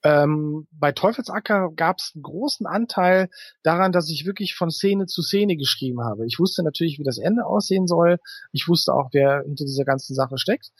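A man with a voice a little higher than average, recorded close and dry. He talks as a guest in an interview, thinking aloud and explaining at length. His sentences wind along with hesitations as he looks for the right wording. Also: moderate pace, breathy edge, no echo. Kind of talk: conversational interview answers.

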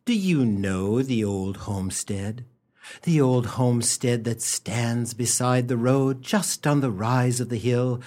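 Man acting theatrical narrator, slow pacing, mid pitch, grandiose, comic radio storytelling. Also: darkish tone. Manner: theatrical, mock-grandiose, addressing the listener. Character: florid, mock-solemn storyteller, easily exasperated.

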